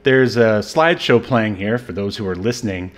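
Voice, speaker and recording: A man hosting a podcast, chatting casually and softly about a movie, often trailing off into fillers. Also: on the low side, moderate pace. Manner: soft-spoken, trails off into fillers. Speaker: podcast host. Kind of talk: casual chat reviewing a movie.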